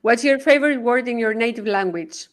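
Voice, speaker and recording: A woman hosting a live podcast interview, speaking briskly with frequent filler pauses. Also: fairly high pitch. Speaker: podcast host.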